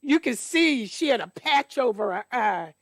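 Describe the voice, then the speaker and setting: A woman storyteller reading aloud to children at church, deliberately, with explanatory asides. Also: fairly high pitch, lively intonation, no echo, studio quality. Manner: deliberate, explains details to young listeners.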